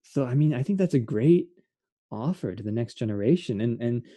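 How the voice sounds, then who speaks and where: A man's voice: medium pitch, expressive, a little fast, dark tone, no room sound. A man, a theatre artist, hosting an earnest and reflective conversation. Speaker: interview host, theatre artist.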